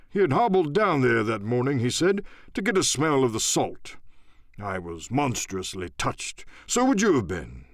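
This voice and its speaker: A pompous English country squire , acted out by a male narrator holds forth in an audiobook. He is excitable, boastful and effusive, his pitch leaping about. The sound is dry and close. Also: no room sound, close to an everyday voice.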